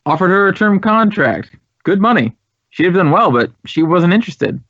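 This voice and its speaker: A man's voice: high-pitched, lively intonation, normal speed, fairly bright tone, no room sound, full character voice. An American man playing an earnest, talkative drama school head in a radio drama, speaking with emphatic, reflective phrasing. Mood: puzzled. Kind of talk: 1950s radio drama dialogue. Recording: dry, dull vintage radio recording.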